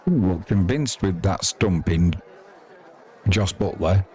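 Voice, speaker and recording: A man's voice: low voice, lively intonation, slow, a little mellow. A man giving informal live ball-by-ball cricket commentary in a wry, opinionated, colloquial and mildly sweary way. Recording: crowd noise behind the voice. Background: sports crowd.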